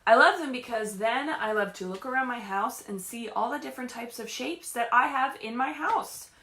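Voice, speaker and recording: Woman, children's storyteller, high lively pitch, question-filled child-directed delivery, roomy home sound. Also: moderate pace. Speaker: children's librarian leading story time. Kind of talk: read-aloud picture book storytelling for young children. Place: indoors at home.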